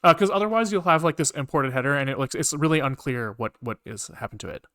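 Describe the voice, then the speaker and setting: A man's voice: fairly high pitch, quick pace, bright, forceful tone. A man thinking aloud as he codes on a livestream, rambling casually, repeating words to himself and swearing offhandedly. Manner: casually profane. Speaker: programmer livestreaming coding.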